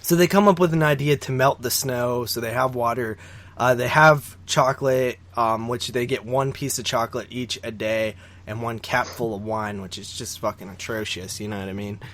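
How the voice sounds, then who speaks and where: A man's voice: medium pitch, steady tone, normal speed, a bit bright. A man co-hosting a podcast, casually recapping a film in a rambling, informal way with some swearing. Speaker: podcast co-host.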